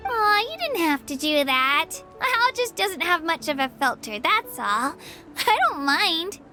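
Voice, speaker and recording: Young girl adventurer: very high, lively, sweet yet unsure, music behind. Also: a little slow, full character voice. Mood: forgiving. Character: sweet, timid young girl adventurer, eager, self-doubting. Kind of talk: anime game voice acting.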